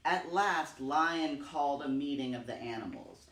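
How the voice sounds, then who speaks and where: A man's voice: a little high, a little slow, fairly bright tone. Echoey, distant male storyteller reading aloud. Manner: dramatic, repeats phrases for emphasis. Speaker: storytime reader. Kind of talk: expressive read-aloud children's storytelling. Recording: roomy, echoing, distant microphone.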